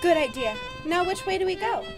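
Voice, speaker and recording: A woman playing an excitable, anxious young newlywed bride in a radio horror play. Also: extremely high pitch, brisk. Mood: happy, excited. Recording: music under the voice.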